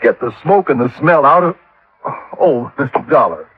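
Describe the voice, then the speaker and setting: An actor playing a gruff, sceptical middle-aged husband and father with a blunt, scolding way of talking in a radio drama. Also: mid-range voice, lively intonation, moderate pace, no echo. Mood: irritated, scornful. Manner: blunt, scolding, plain-spoken. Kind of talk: 1950s radio drama acting. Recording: tinny vintage radio, band-limited.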